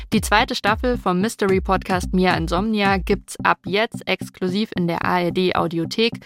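A woman's voice: fairly low pitch, a little hiss or hum. A woman, hosting a news podcast and speaking in a conversational interview style. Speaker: podcast host.

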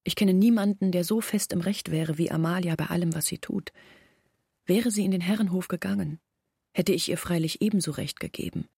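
An actress playing an earnest village woman in a literary radio drama, recounting deliberately in a husky voice. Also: lowish voice, dark tone, dry recording, studio quality. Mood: earnest, insistent. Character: Olga, earnest village woman defending her sister.

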